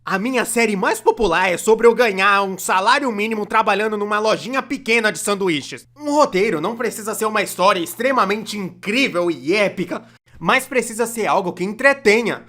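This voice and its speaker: A Brazilian performer dubbing a young cartoonist who narrates an animated comedy video in a conversational, self-deprecating, complaining way. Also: medium pitch, brisk, close to an everyday voice. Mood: wry, explanatory. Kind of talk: scripted comedic storytime narration, fan dub.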